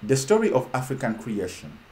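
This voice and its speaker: A man's voice: medium pitch, wildly swooping pitch, brisk, mellow. A man from Uganda hosting a casual talk with an online audience. He speaks with fervent, repetitive rhetoric and trails off with ums. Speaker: host.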